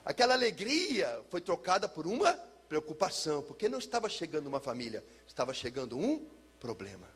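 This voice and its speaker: High-pitched husky Brazilian male preacher. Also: big pitch swings, fairly bright tone. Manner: emphatic, theatrical, uses pauses and repetition for effect. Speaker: pastor giving testimony. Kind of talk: personal testimony, dramatic storytelling to listeners.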